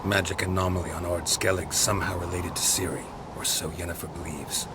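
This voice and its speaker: An actor playing a stoic, world-weary monster hunter in a video game, talking in terse, deadpan, clipped sentences. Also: male voice, deep voice, fairly quick, very noisy, natural voice. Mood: dry, flat. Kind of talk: dramatic video game dialogue. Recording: game scene with loud music underneath.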